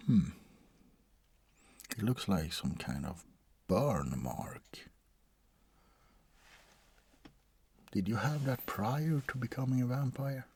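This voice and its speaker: A man playing a bored, world-weary, centuries-old vampire doctor in an ASMR roleplay, husky and breathy, often slipping into a whisper, with a deadpan, hesitant delivery. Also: on the low side, a little hiss or hum, exaggerated voice. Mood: clinical, mildly apologetic.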